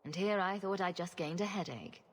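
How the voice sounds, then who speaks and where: An actress playing a sarcastic, self-assured rogue heroine in a fantasy video game, with a dry manner. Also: female voice, on the low side, moderate pace, slightly rough, long reverb tail. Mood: sardonic. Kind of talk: video game character acting.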